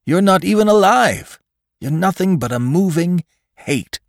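Man playing a logical man, pitched up, insistent. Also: animated, unhurried, gravelly, no room sound, clean studio sound, full character voice. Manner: insistent, articulate reasoning. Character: logical, reasonable man pleading for his life. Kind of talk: dramatic storybook narration.

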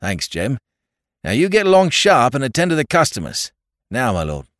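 A man putting on a cheery Cockney landlord in his fifties who chatters eagerly in a lively, bouncing voice, with dropped grammar and a raised pitch. The recording is dry and close. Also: normal speed, dry recording, lightly acted. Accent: London Cockney. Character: cheery Cockney male landlord, about fifty-five.

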